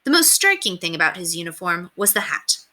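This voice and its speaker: A woman narrating a children's story aloud in an expressive read-aloud style. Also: lowish voice, brisk.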